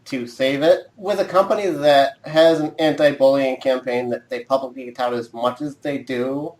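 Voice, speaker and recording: A man's voice: medium pitch, fairly even intonation, normal speed, a little mellow, roomy sound. A man co-hosting a podcast, speaking emphatically and closing out the show with contact details. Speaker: podcast co-host. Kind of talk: casual chat.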